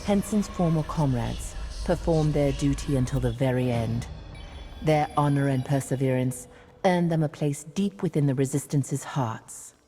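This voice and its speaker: A woman's voice: very deep voice, normal speed, mellow, faint music behind. A woman giving solemn scripted narration in a fantasy video game.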